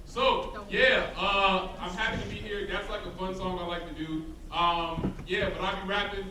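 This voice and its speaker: A man's voice: sits high, steady tone, normal speed. Laid-back young man in echoey hall. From South Side of Chicago. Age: young adult. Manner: laid-back, rambling, many "like" and "um" fillers. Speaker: rapper and guest speaker. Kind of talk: casual talk to a student audience. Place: large hall with a live audience. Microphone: handheld microphone. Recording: echoey hall, distant mic, crowd noise.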